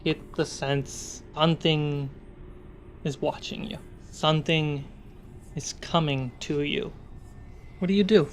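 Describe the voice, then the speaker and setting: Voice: medium pitch, a little hiss or hum. A game master narrating a tabletop fantasy game in short, suspenseful, dramatic phrases. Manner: slow, suspenseful scene-setting with short phrases.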